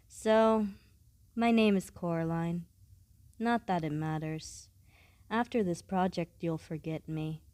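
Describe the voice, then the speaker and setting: A woman playing a blunt, aloof, studious student in a scripted audio roleplay, speaking in a deadpan way with formal wording. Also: lowish voice, no room sound, lightly acted. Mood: flat, resigned. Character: blunt, aloof, studious female student, coolly matter-of-fact.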